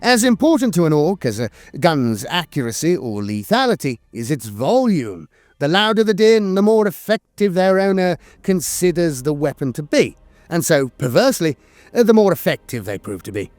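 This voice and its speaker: A man's voice: a little high, very animated, normal speed, darkish tone, no echo. A man narrating a scripted piece of fantasy lore in a dramatic storytelling manner.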